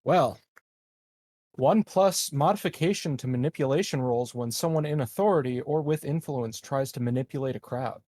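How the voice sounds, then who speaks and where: A man's voice: mid-range voice, moderate pace, darkish tone, no echo. A man playing a tabletop roleplaying game, chatting casually and reasoning out choices in a tentative way. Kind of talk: casual chat.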